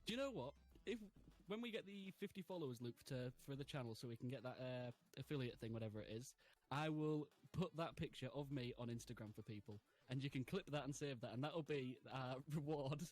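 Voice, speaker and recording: A man's voice: medium pitch, lively intonation, a little fast, a little mellow, soft background music. A man in his twenties chatting casually and laughing often while streaming a game. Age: 20s. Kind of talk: casual gaming chat.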